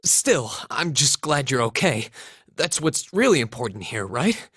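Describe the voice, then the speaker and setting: Male teen student hero, earnest, unhurried, gentle, varied intonation, dry game audio. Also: mid-range voice, very animated, slightly rough, no room sound, heavily acted. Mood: awkward, sincere. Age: young adult. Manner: hesitant, gentle. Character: earnest, polite, mild-mannered teenage male student hero. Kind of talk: English video game voice acting.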